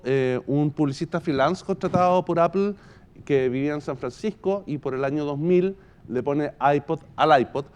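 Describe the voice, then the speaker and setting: A Chilean man giving a semi-improvised conference talk in a halting, thoughtful way, often pausing mid-phrase. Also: on the high side, darkish tone, smooth. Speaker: podcast company founder giving a presentation.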